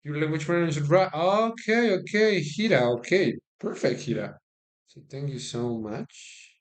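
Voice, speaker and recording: A man's voice: on the high side, lively intonation. Chatty Colombian man, chess commentary. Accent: Colombian Spanish. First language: Spanish. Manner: chatty and halting, repeats words, thanks and addresses viewers. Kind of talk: casual live-stream chess commentary. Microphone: phone.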